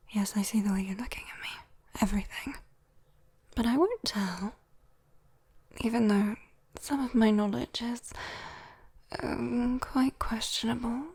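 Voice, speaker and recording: A British woman voicing a sweet, teasing, possessive demon girl in a breathy, coaxing whispered ASMR roleplay. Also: a little high, darkish tone, exaggerated voice. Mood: sly, intimate. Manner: breathy, teasing, coaxing, slow with lingering pauses. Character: sweet, teasing, possessive young female demon comforting a human.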